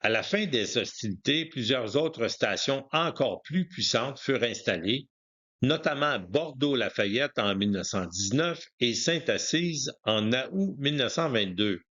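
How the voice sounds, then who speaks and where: A man delivers scripted documentary narration about the past in a mid-pitched voice. The recording is dull and lo-fi, with limited detail in the sound. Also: expressive, a little slow, a bit bright.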